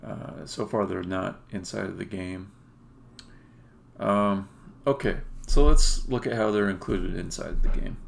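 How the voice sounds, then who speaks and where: A man giving a casual live demo as a game developer, explaining things in halting phrases with pauses mid-sentence. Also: low voice, creaky, slight background noise. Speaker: game developer, stream host.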